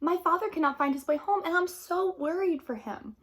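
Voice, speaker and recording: A woman acts out an emotional young fisherman's daughter from a storybook. The girl's voice is pitched up high, earnest and pleading, with dramatic delivery. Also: a little fast. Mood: tearful, worried.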